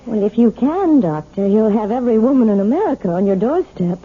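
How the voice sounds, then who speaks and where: An actress playing a timid, wounded wife in 1970s radio drama, restrained and hesitant. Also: female voice, on the high side, very animated, a little fast, slightly rough, narrow, tinny audio. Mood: anxious, curious. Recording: thin, noisy old radio broadcast recording.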